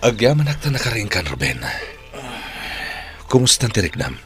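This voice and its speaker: Radio drama lead: tender, protective older brother, low earnest voice, light music underneath. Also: male voice, big pitch swings, normal speed, fairly bright tone. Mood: tender concern. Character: gentle, caring man, protective older brother.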